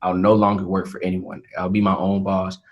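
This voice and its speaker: An eighteen-year-old man speaks as an interview guest. His voice sits a little low for his age, and he answers in an earnest, measured way, often pausing on "um" and "you know.". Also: completely flat delivery, highs cut off. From Atlanta, Georgia, USA. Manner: earnest, measured, frequent fillers. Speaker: interview guest, young entrepreneur. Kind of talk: casual interview talk.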